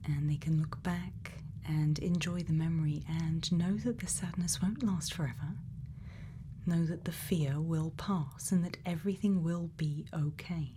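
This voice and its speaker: A woman doing a soft-spoken, often whispered ASMR roleplay as a caring scientist, speaking gently and without hurry in a soothing way. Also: low-pitched, unhurried. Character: sweet, caring scientist speaking to a robot friend. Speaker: ASMR performer playing a scientist. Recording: faint background noise.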